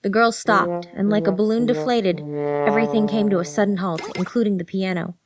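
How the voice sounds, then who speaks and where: Female narrator, scripted audiobook storytelling, mid pitch, music in the background. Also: steady tone, brisk.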